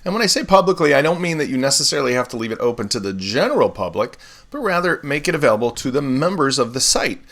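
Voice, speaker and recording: A man hosting a live software tutorial, explaining steps in a relaxed way with everyday comparisons while still getting over laryngitis. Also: medium pitch, quick pace. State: recovering from laryngitis, swallowing often. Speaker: web developer tutorial host.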